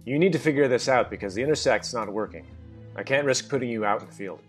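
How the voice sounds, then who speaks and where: An actor playing a stern, businesslike spy agent in charge at a table read, speaking firmly and bluntly. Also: male voice, medium pitch, animated, quick pace, soft background music, lightly acted. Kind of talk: scripted table read, dramatic TV acting.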